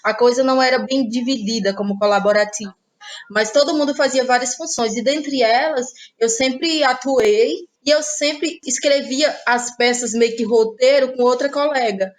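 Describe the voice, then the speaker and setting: A woman in her thirties with a Cearense accent speaks in a somewhat high voice. As a playwright and actress being interviewed, she answers thoughtfully and hesitantly, often pausing on fillers. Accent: Brazilian Portuguese, Cearense. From Ceará, Brazil.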